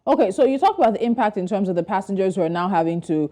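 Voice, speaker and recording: A woman's voice: medium pitch, animated, very fast, mellow. A woman working as a television news presenter, delivering introductions and putting interview questions to a guest.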